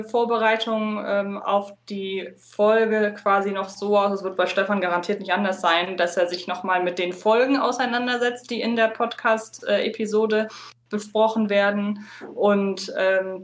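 A woman speaks at a medium pitch in a room with noticeable echo. She talks casually as an interviewed podcast co-host, laying things out in long, detailed sentences. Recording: roomy, echoey sound.

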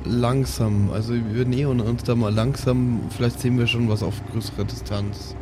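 A man's voice: mid-range voice, fairly even intonation, moderate pace, noisy background. A man playing in an improvised tabletop game, talking casually and with slang, feeling his way through sentences. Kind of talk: casual improvised role-play.

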